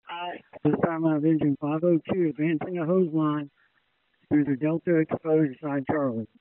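A man's voice: fairly high pitch, fairly even intonation, normal speed, no echo. A man reporting as a fire division supervisor over a two-way radio. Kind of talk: fireground radio status reports. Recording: tinny band-limited two-way radio traffic.

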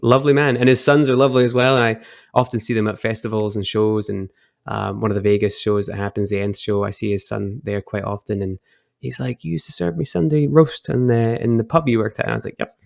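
Gravelly Scottish young man, relaxed. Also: on the low side, fast talker, dry recording, clean studio sound. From Scotland, Edinburgh. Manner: chatty, relaxed, laughs often. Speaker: guest, whisky brand ambassador. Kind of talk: casual storytelling chat.

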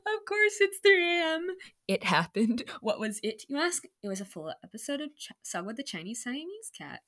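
A woman's voice: on the high side, sing-song, normal speed, fairly bright tone. A young adult woman casually reading her own story aloud with self-mocking, incredulous commentary. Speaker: online reader of own stories.

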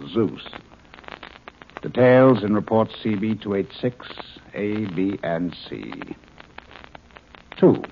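An actor playing a male scientist in charge of a secret base, dictating a clipped official letter in 1950s radio drama style. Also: medium pitch. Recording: dull old radio recording, faint hiss.

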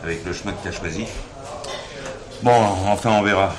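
Man playing a low-voiced cynical police inspector. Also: normal speed, a crowd in the background, lightly acted. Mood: gruff, condescending. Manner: condescending, bargaining. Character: gruff, cynical, flirtatious male police inspector. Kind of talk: 1970s French crime drama acting.

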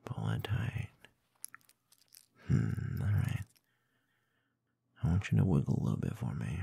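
Man, intimate ASMR roleplay, low pitch, heavy vocal fry, husky half-whisper, unhurried teasing dominant murmur, close mic. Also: sing-song, dark tone, dry recording. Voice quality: breathy, husky, often whispered. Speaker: ASMR audio performer. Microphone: close binaural microphone.

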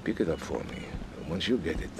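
An actor playing a scheming colonel in a crime game, formal, florid and persuasive in manner. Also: male voice, extremely low pitch, animated, fast, background noise. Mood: smooth persuasion. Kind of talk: video game cutscene acting.

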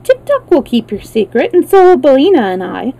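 A woman's voice: sits high, sing-song, a little fast, gravelly, mellow, pitched above the performer's own voice, full character voice. A woman voicing a kind, curious young girl in a storybook, speaking earnestly and directly. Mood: reassuring.

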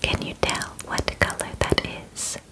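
A woman in her twenties playing a calm, clinical alien examiner in an ASMR roleplay, speaking formally and methodically close to the microphone. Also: close to an everyday voice. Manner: slow, formal, gently methodical, precise wording. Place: small bedroom, indoors. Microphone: close microphone in front of camera.

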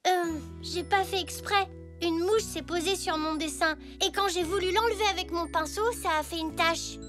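Earnest, very high-pitched little zebra boy. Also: normal speed, music in the background. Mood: innocent. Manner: earnest, childlike. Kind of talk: French children's cartoon acting.

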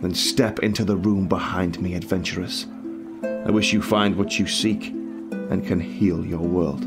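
A knowing, cryptic hooded sage voiced by a male actor speaks very low. His manner is formal and portentous, as fits a fantasy audio drama. Music sounds behind him. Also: steady tone, measured pace. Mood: grave, cryptic. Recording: music under the voice.

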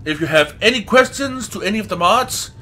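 A man who is not a native English speaker gives casual gameplay commentary, haltingly searching for words and swearing now and then. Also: sits high, animated, bright, forceful tone. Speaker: let's play host.

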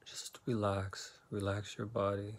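Male meditation guide, very slow delivery with long pauses, lowish pitch, soothing. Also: flat delivery.

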